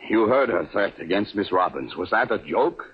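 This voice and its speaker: An actor playing a distraught, henpecked middle-aged doctor in an old radio drama, with an agitated, halting and formal way of speaking. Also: male voice, sits low, very animated, husky, heavily acted. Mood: nervous. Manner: agitated, halting, formal, foreign-sounding word order. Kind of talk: 1940s radio drama acting. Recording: muffled old radio recording.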